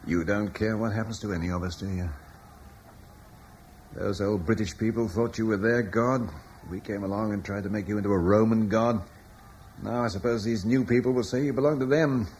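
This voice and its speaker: A man's voice: on the low side, steady tone, moderate pace, a little mellow, lightly acted. An actor playing a weary Roman-era man who speaks in a wry, bitter, resigned way, in a classic radio play. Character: weary Roman-British man facing the end. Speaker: Julian, husband in a radio play. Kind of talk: 1950s-60s radio drama acting. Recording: old radio broadcast with faint noise.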